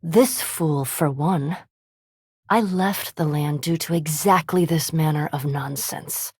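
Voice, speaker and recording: An actress voicing a haughty, scholarly elf vampire in a fantasy video game, with formal, precise diction and dry, disdainful sarcasm. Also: female voice, low voice, takes it slowly, darkish tone, slightly rough, no echo, full character voice. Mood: wry, sarcastic.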